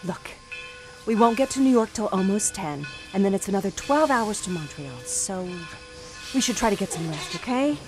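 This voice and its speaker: An actress plays a tough female thief in a period radio drama. She is bossy and wry, full of old slang, in a mid-range voice. Music plays clearly behind her. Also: medium pitch, expressive, normal speed, lightly acted. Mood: firm. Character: tough, bossy, wisecracking female bank teller turned thief.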